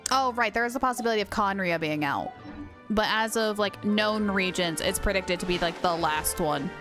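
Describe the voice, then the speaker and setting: A woman streams a game and chats casually with her audience. She has a medium-pitched voice and an excitable, gushing delivery. Faint game music runs behind her. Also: moderate pace. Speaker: game livestreamer. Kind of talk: casual live stream commentary and chat.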